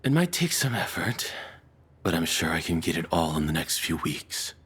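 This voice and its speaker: A man playing a deranged, lovesick cannibal captor in an intimate audio roleplay, speaking in a soft, hesitant, confessional way with quiet menace. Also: deep voice, very animated, normal speed, husky, exaggerated voice. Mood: obsessive, menacing.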